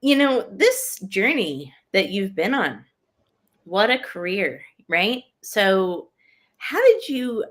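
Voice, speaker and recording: A woman's voice: mid-range voice, a bit bright. A woman hosting a conversational interview in an effusive, encouraging manner. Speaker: interview host.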